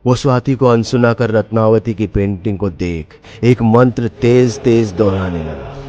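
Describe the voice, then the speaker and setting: A man's voice: on the low side, measured pace, highs cut off. A man narrating a scripted horror story in a dramatic storytelling style. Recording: loud music behind the voice. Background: music.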